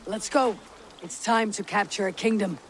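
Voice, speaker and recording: An actor playing a resolute, unsentimental Viking raider in a video game, speaking in a blunt, clipped manner. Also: male voice, sits high, fairly even intonation, moderate pace, fairly bright tone, slight background noise. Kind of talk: dramatic video game acting.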